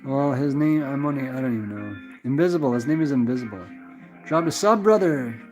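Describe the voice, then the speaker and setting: A relaxed young Canadian man talks loosely to his viewers at a medium pitch. He rambles, restarts his thoughts and keeps calling people brother. The sound is a little dull and lo-fi. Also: fairly quick, faint music behind. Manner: laid-back, rambling, calls everyone brother. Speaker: livestream host reading chat. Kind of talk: casual livestream chat.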